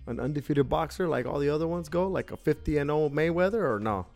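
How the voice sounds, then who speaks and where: A man's voice: a little high, fast talker, creaky, darkish tone, faint music behind. Casual bilingual man co-hosting a podcast. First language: Spanish. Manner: loose slang-heavy talk, mixing in Spanish words. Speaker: podcast co-host. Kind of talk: casual chat. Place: office.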